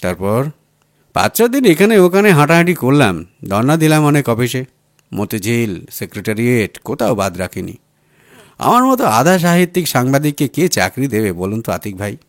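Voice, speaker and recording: A man acts out a down-at-heel male writer out of work, talkative and apologetic, in an audiobook story. The pitch swings in a plaintive, familiar way, and the sound is dry. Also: sing-song, moderate pace, no room sound. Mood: frustrated, dejected. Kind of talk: audiobook character dialogue.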